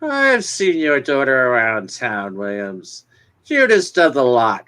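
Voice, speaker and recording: A man's voice: mid-range voice, huge pitch swings, slow, pushed and bright, clean tone, heavily acted. A man playing a genial, self-assured small-town businessman in a folksy, expansive dramatic reading of a 1950s romance comic. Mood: jovial, hearty.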